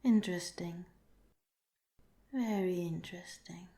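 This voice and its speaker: Woman acting as clinical alien scientist, ASMR roleplay, very slow, soft and half-whispered, formal, calm, roomy echo. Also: fairly low pitch, noisy background. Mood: clinical, curious. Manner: measured, formal, detached, scientific phrasing. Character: calm, clinical, curious alien researcher studying a captured human. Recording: roomy, echoing voice.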